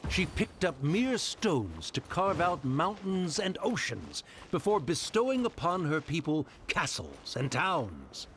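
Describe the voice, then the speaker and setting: Slow, grandiose fantasy storyteller. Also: male voice, on the high side, sing-song, a bit bright, a little hiss or hum, exaggerated voice. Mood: solemn, reverent. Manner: solemn, grandiose recitation. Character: solemn storyteller reciting a grand fantasy scripture. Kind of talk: video game scripted narration. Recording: clear background music.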